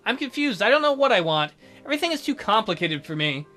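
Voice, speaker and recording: A man's voice: sits high, sing-song, fast talker, bright, forceful tone. Male let's play narrator, reads story text aloud, wry commentary, music underneath. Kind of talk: reading visual novel narration aloud with wry asides.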